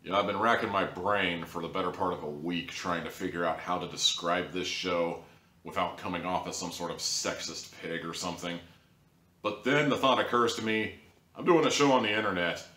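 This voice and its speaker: Deep-voiced guy ranting sarcastically about a show, kinda roomy echo. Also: moderate pace, sharp tone. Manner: sarcastic, rambling, with sudden jumps in pitch. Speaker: audio drama reviewer. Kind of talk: casual monologue review.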